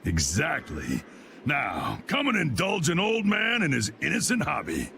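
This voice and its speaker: A blustery old American guild boss for a video game. His gravelly voice sits a little high and swings widely, hearty and folksy yet used to giving orders. Clear background noise sits behind it. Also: huge pitch swings, unhurried, exaggerated voice. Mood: hearty, commanding. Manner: folksy, commanding.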